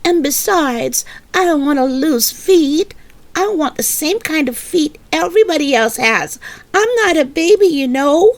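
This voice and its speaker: Lady doing a bratty dramatic little kid, girl, high voice that jumps all over, huffy storybook character. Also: animated, a little slow. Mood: indignant. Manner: childish grammar, emphatic protesting.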